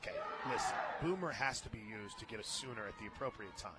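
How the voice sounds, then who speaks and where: An American man doing live radio play-by-play of softball, conversational, with wry asides between calls. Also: lowish voice, expressive, fast. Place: radio booth at a ballpark. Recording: noisy outdoor ballpark broadcast.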